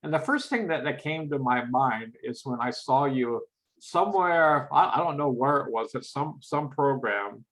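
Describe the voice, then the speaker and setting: A man in a casual chat with a mellow voice who talks haltingly and repeats his words. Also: medium pitch, poor audio. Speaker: guest.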